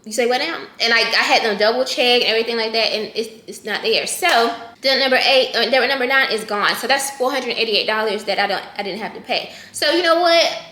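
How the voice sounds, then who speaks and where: A woman's voice: fairly high pitch, brisk, bright, forceful tone, roomy sound. A woman in her thirties casually chatting with her viewers, correcting herself as she goes and talking straight to them. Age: 30s. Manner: conversational, self-correcting, talks straight to viewers. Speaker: personal finance vlogger.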